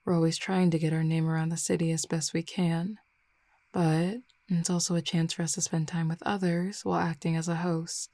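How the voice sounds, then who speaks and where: A flirty female werewolf, played by a woman in an intimate roleplay audio drama, speaking low with plenty of gravelly fry. She is easygoing and confident, teasing affectionately in a mellow, unhurried way. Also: level tone, no room sound, lightly acted. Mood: reassuring, inviting. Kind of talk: intimate roleplay audio drama, casual one-sided conversation.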